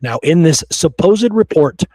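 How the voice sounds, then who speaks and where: A man's voice: on the high side, animated, measured pace, mellow, no echo. A man hosting a podcast, discussing history in a casual, conversational way with blunt, opinionated remarks. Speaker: podcast host.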